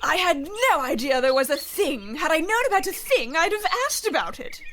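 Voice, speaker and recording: A high-pitched, imperious big-game huntress, played by a woman in a comedic radio play. She barks orders with brash impatience. Faint background noise sits under the voice. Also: very animated, normal speed, a bit bright. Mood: bossy.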